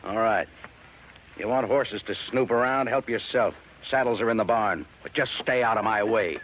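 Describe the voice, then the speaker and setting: An actor playing a gruff, combative, suspicious cattle rancher in a 1950s radio drama. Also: male voice, lowish voice, moderate pace, fairly bright tone, close to an everyday voice, low-quality recording. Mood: irritated. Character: gruff, hot-tempered, defensive male cattle rancher. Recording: old radio recording, faint noise.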